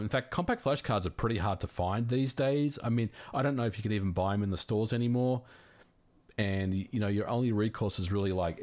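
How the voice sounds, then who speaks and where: Relaxed Australian man chatting on a livestream. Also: on the low side. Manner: relaxed, friendly, frequent fillers and restarts. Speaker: livestream host. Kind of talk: casual livestream chat reading viewer questions.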